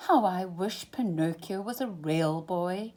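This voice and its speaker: A woman's voice: lowish voice, a little slow, darkish tone. A woman voicing a kindly, doting old puppet maker from a children's storybook, in a tender, wondering manner. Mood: wistful.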